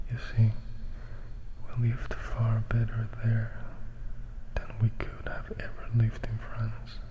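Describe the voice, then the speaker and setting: A melancholy young vampire, played by a man reading aloud, recounts his life in a whispered ASMR storybook style. He is composed and reflective, low and very mellow. His phrases come slowly, with barely any lift. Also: poor audio. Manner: measured, reflective, hushed.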